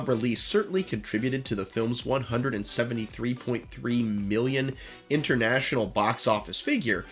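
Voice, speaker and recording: A man hosting a video, reading a scripted commentary in a nasal voice as he recovers from the flu, with earnest, opinionated asides. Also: mid-range voice. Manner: earnest, opinionated asides between read passages. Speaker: YouTube host.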